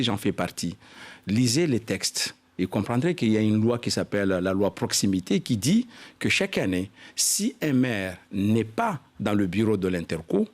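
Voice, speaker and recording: Male interview guest, local politician, lower-pitched voice, formal register, halting and explanatory phrasing. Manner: halting, repeats "je dirais", explanatory.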